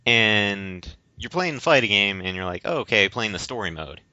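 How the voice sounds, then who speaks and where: A man co-hosting a casual discussion podcast, explaining things in a halting, rambling way. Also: fairly low pitch, animated, bright, forceful tone, no echo. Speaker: podcast co-host. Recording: dull, lo-fi voice recording.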